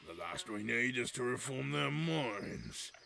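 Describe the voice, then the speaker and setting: Man acting a gruff, gravelly troll guard. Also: medium pitch, expressive, unhurried, pushed and bright, a little hiss or hum, exaggerated voice. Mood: gruff, wary. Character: gruff giant troll scout guard, irritable, male. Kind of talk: fantasy audio drama acting. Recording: music bed behind the voice.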